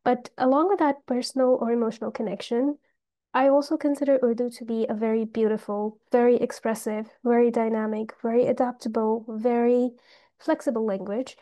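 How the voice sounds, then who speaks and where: Young Pakistani woman tutor, earnest, stacks phrases with ums; warm, mellow, fairly high voice. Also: fairly even intonation, a little fast. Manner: earnest, listing phrases one after another, with frequent fillers. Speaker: language tutor. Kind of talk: unscripted self-introduction to camera.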